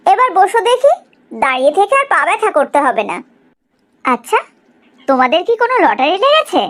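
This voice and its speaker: Woman doing a cartoon village mom, really high voice, super animated, proud and sharp tongued, gossipy. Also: fairly quick. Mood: probing, pointed. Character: middle-aged village mother, proud, sharp-tongued, visiting or hosting. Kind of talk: Bengali cartoon story dialogue.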